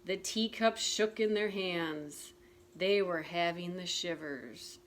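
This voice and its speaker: A woman teacher reading a picture book aloud to young children, gentle and clear. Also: lowish voice, a little hiss or hum. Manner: gentle, slow, clearly enunciated. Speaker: preschool teacher.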